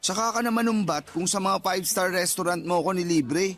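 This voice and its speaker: High-voiced whiny young Filipino boyfriend. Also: male voice, fairly quick, fairly bright tone. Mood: defensive. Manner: whiny, flippant excuse-making. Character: defensive, teasing young boyfriend, jobless and lazy. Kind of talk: Filipino radio drama dialogue. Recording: background music under the voice.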